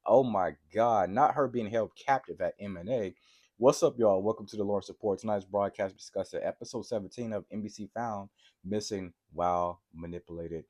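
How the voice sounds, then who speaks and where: A man with a cold and a sore throat, excitedly recapping a TV episode for his viewers and often checking in with a quick "right?". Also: fairly low pitch. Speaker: TV episode reviewer. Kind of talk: casual TV recap vlog.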